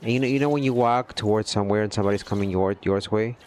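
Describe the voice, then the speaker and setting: A man's voice: on the low side, rapid-fire, a little mellow, slight background noise. A man chatting casually with his livestream viewers, earnest and rambling, often checking in with "you know what I mean". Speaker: gaming livestreamer.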